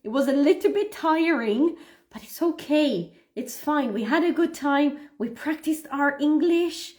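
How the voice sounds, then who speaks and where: A woman hosting a livestream English lesson as the teacher, speaking deliberately and encouragingly to learners as she models phrases for them. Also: sits high, a little mellow. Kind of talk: read-aloud pronunciation lesson, addressing learners.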